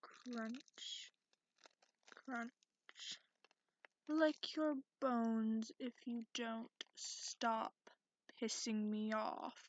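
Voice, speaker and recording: A woman voicing a cranky, sarcastic male dragon in a soft, grumbling ASMR roleplay, grudgingly polite and partly whispered. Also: on the high side, steady tone, very slow, long pauses. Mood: irritated, sarcastic. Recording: lo-fi, noisy close recording with clicks.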